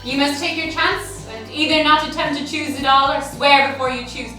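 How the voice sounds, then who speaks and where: A woman playing a composed noble young lady, speaking in a measured, cautioning way in an echoey hall from far off. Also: high voice, sharp tone, soft background music. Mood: firm.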